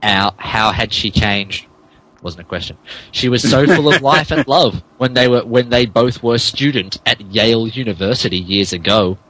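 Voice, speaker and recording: A man's voice: mid-range voice, moderate pace, a bit bright, no echo, poor audio. A man narrating a comic dramatic reading in a mock-serious way, often stumbling over his words. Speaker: podcast host reading as narrator.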